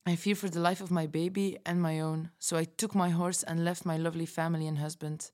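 Woman playing a lower-voiced pregnant runaway mother. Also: flat delivery, fairly quick, darkish tone, lightly acted. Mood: earnest, fearful. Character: frightened pregnant mother of six who fled her community. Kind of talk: fiction podcast backstory monologue.

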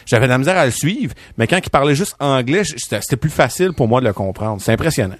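A man's voice: medium pitch, expressive, quick pace, no room sound. Québécois man chatting casually, dry sound. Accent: Québécois French. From Quebec. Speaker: podcast co-host. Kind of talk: casual conversation.

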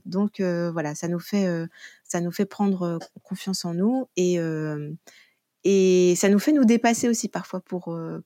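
A woman's voice: on the low side, darkish tone, no echo, studio quality. A middle-aged woman answering podcast interview questions casually and reflectively, often searching for words and correcting herself. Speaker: interview guest, online French and Turkish teacher.

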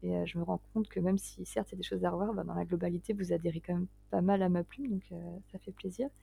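A woman's voice: lowish voice, steady tone, quick pace, gravelly, dark tone, breathy edge. A woman in her late twenties, a guest writer talking casually in an interview, hesitant and self-deprecating, often breaking into laughs. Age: 20s.

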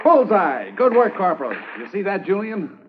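An actor playing a firm Mountie sergeant who gives brisk orders in a 1950s radio drama. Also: male voice, sits high, big pitch swings, loud music behind the voice. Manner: brisk and commanding. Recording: dull old radio transcription with men.

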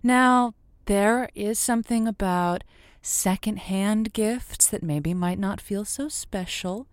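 An actress playing an earnest romance advice host on a call-in radio show, reassuring and emphatic, drawing out key words. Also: female voice, mid-range voice, takes it slowly, no room sound, studio quality, close to an everyday voice. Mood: gently probing. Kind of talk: semi-improvised audio comedy as radio advice show.

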